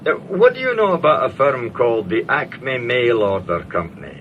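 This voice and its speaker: A man's voice: mid-range voice, huge pitch swings, normal speed, highs cut off. An actor playing a suspicious, sardonic English ex-police inspector in a radio drama, needling whoever he talks to. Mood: probing, sceptical. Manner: sardonic, needling, hesitant uhs. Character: suspicious, sneering male ex-police inspector turned security man. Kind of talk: British radio drama acting. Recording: faintly noisy radio drama recording.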